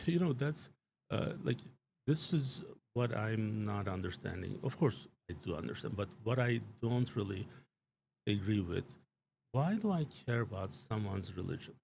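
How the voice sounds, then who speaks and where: A man's voice: lowish voice, lively intonation, unhurried, creaky, a little mellow, a little hiss or hum. A man giving his views on politics in a live studio talk, emphatic and rambling, with many fillers and restarts. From Turkey. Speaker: show guest commentator. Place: small studio.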